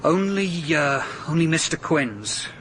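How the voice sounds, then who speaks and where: An actor playing a surly, touchy caretaker in a radio drama, curt, defensive and grudging. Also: male voice, fairly high pitch, lively intonation, slow pace, a bit bright, slight background noise.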